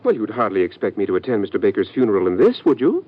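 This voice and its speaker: Fussy dapper lawyer, always in a rush, swooping voice, old radio play. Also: male voice, fairly low pitch, wildly swooping pitch. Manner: fussy, hurried. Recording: muffled old radio recording.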